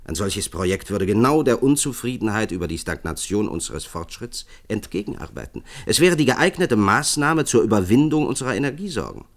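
A man's voice: lowish voice, animated, a little fast, hardly any pauses. An actor playing an earnest male expert in a German science-fiction radio drama, formally lecturing and persuading. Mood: persuasive. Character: earnest male science expert pitching a visionary project to leaders.

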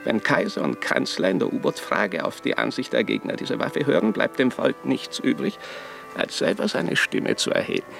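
Haughty, creaky-voiced archbishop, very animated. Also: male voice, medium pitch, moderate pace, faint music behind, heavily acted. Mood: haughty, cool. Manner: declamatory, formal, pointed. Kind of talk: German radio play acting.

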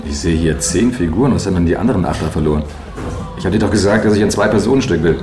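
An actor playing a pushy, scornful publisher in a theatrical German radio drama, insistent and patronizing. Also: male voice, low voice, rapid-fire, natural voice. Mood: impatient. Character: pushy, scornful male publisher haggling over a manuscript. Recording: roomy echo with music underneath.

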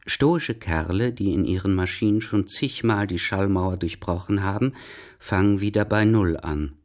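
A man with a standard German accent reads scripted literary radio narration in a measured, deliberate way. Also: low-pitched, a little mellow, close to an everyday voice.

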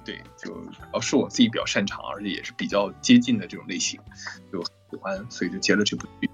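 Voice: low voice, fast talker, gravelly, soft background music. A voice actor hosting a casual live chat, chatty and often repeating phrases. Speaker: host and voice actor.

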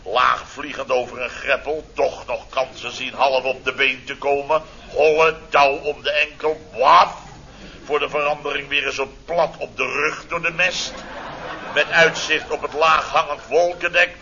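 A blustering middle-aged boss in an old radio comedy, heard through a dull, noisy recording. His sharp, forceful voice spins sarcastic, exclamatory stories of his own mishaps. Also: fairly high pitch, flat delivery, measured pace. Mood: exasperated, ironic. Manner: theatrical, exclamatory, sarcastic storytelling. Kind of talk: radio comedy serial acting.

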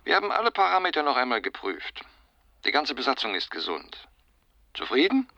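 An actor playing a breezy, reassuring mission medical expert in a radio drama. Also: male voice, mid-range voice, huge pitch swings, brisk, pushed and bright, no room sound, full character voice. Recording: dull, band-limited radio link.

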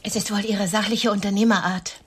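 An actress playing a nervous, coy, wounded single woman who flirts politely on a date in a radio drama, measured and sometimes breathy. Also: medium pitch, fairly even intonation, lightly acted. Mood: coy, probing.

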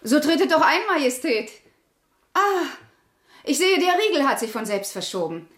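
An actress playing a worldly, flirtatious and poised court countess in a radio drama, with an arch, coquettish manner. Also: female voice, high voice, very animated, moderate pace, fairly bright tone. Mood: coquettish, urgent. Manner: arch, coquettish, slips into French. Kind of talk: German radio drama acting.

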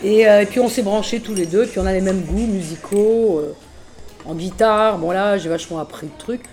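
A middle-aged woman musician chatting casually in an interview, relaxed and full of fillers. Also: mid-range voice, normal speed, faint music behind. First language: French. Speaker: musician guest.

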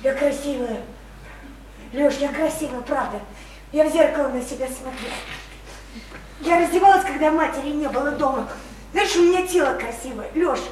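A woman's voice: sits high, moderate pace, pauses often, a little mellow, natural voice. An actress playing a naive, lonely provincial young woman in a radio play, plaintive and insistent like a child. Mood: hurt insistence. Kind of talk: theatrical Russian radio play acting. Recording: roomy, distant stage sound, faint noise.

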